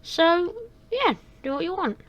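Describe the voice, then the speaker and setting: A woman's voice: extremely high pitch, big pitch swings, measured pace, dull audio, voice pushed up, exaggerated voice. A woman voicing a friendly male boss giving a new hire cheerful, bossy instructions. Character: friendly male workplace boss hiring a guard.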